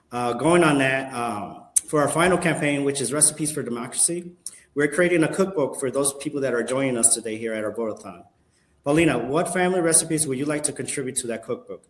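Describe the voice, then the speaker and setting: A male host leads a community voting livestream with polite, scripted questions and thanks for his guests. His mid-range voice carries a noticeable roomy echo. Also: medium pitch. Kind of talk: scripted interview hosting.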